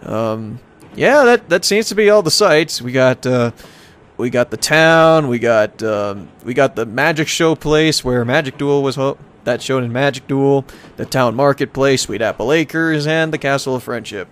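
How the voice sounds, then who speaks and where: A man's voice: mid-range voice, lively intonation, a little slow, a bit bright. A man hosting a gaming playthrough, reading visual novel text aloud and breaking off for joking, sarcastic asides. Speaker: let's play host.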